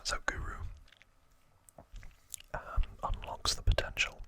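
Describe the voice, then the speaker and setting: An ASMR host speaks in a breathy whisper at a very slow pace. The delivery is casual and halting, like an informal recap with asides. The whisper has a bright, sharp edge.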